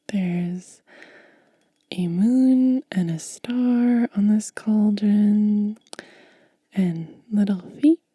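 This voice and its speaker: A woman hosts ASMR in a breathy, hushed whisper. She moves extremely slowly through short, gentle phrases with pauses between them. The sound is close and very dry, with a soft, mellow tone. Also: mid-range voice, no room sound. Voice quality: breathy, mostly unvoiced whisper. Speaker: ASMR host. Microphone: close ASMR microphone.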